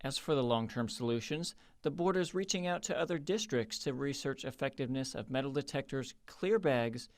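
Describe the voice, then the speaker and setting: A man giving a scripted TV news report in a measured, emphatic reporter's delivery. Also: medium pitch.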